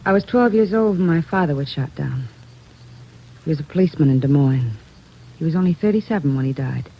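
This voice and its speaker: A woman's voice: low voice, lively intonation, brisk, husky, heavily acted. An American woman playing an anxious, earnest young wife and mother in a 1940s radio drama. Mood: worried, pleading. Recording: dull old radio recording.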